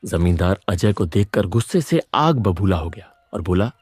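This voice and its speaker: A man's voice: sits low, expressive, normal speed, darkish tone, husky, dry recording. A man reading scripted narration for a moral story as its narrator.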